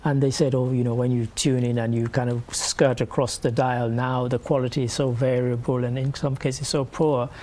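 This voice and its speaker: A man's voice: medium pitch, little pitch movement, moderate pace, hardly any pauses, dark tone. A man hosting a studio news review, talking in a thoughtful, hesitant way with many fillers. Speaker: host. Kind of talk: studio discussion.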